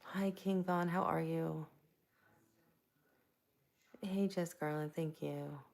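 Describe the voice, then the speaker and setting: Woman from Tampa hosting a live stream: soft-spoken, low and heavily gravelly, chatting easily with viewers. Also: steady tone, slow. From Tampa, Florida. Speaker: livestream host. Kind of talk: casual live chat answering viewers. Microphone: plugged-in microphone.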